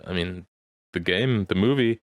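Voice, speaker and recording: Low-pitched man, close dry sound. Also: measured pace, no echo. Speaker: gaming podcast host. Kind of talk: casual opinionated group chat.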